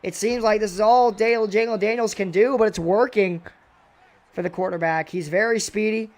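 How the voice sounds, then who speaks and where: A young man doing play-by-play commentary on a video game football match, excitable and a little raspy, his voice jumping on big plays. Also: normal speed. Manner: excitable, voice jumps high and loud on big plays.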